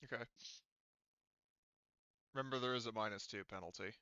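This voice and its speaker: A man with a forceful, clear voice talks players through a tabletop roleplaying session as game master. He explains in a casual, sweary way. The sound is very dry and slightly dull, as from a close mic. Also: lowish voice, moderate pace, dry recording.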